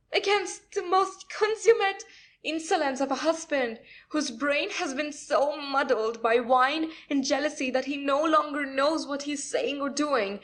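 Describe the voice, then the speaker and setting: Woman as a high-voiced, indignant young wife. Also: a bit bright. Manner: dramatic, scolding, aggrieved. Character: scheming young wife playing the indignant victim. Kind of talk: audio play of classic stage comedy.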